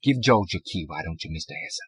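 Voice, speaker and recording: A man's voice: low voice, lively intonation, brisk, fairly bright tone, husky, no room sound, full character voice. A man voicing a pleasant, folksy middle-aged woman who works as a bank clerk, chatty and colloquial, in an audiobook. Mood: friendly, brisk. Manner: folksy, colloquial, ungrammatical talk.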